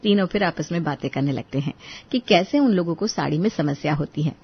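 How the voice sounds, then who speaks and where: A woman's voice: sits low, expressive, a little fast, husky. A woman storyteller delivering scripted narration for a Hindi moral story. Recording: dull, lo-fi audio.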